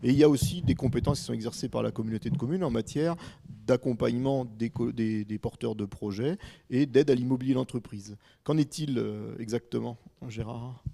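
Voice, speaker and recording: Gravelly, mellow male moderator. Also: medium pitch, fairly quick. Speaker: host. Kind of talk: moderating a panel discussion.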